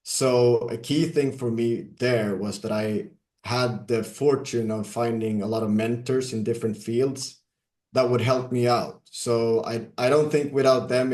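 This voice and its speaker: A man from Sweden, a guest on an interview podcast, telling his career story in a measured, casual way with some fillers. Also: mid-range voice, fairly even intonation, a little mellow, echoey room. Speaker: guest, software company CEO.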